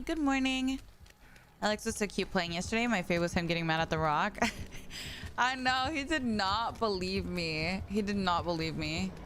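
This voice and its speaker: A woman's voice: mid-range voice, fairly bright tone, a little hiss or hum. A tired young woman chatting casually on a live stream, greeting viewers by name with lots of "like" and "you know". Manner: chatty, reads and greets chat, frequent likes. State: tired from staying up late. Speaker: livestreamer.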